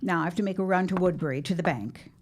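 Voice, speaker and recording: A woman acting a brisk, bossy middle-aged shopkeeper mother in an audio drama, stern, dry and no-nonsense. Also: on the low side, slight background noise, close to an everyday voice.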